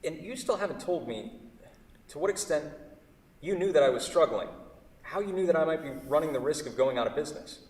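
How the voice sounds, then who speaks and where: A man's voice: a little high, lively intonation, brisk, darkish tone, close to an everyday voice. A man in a staged play reading, playing a solitary woodworking artist who is polite, guarded and hesitant. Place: theatre stage. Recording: very echoey hall, distant microphone.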